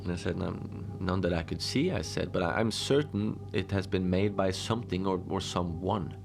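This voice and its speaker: A man playing a deadpan lone adventurer who tells his odd tales slowly and conversationally, rambling with halting asides, in a cozy horror audio drama. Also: sits low, creaky, music in the background. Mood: amiable.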